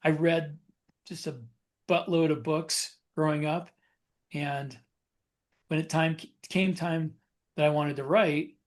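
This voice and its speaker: A man co-hosting a podcast, telling personal anecdotes in a casual, rambling, halting way with self-corrections. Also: on the high side, steady tone. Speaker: podcast co-host.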